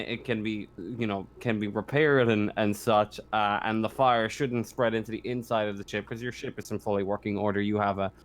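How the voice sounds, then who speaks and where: A man running a tabletop game as game master, narrating casually in a halting, stammering way with frequent backtracking. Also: lowish voice.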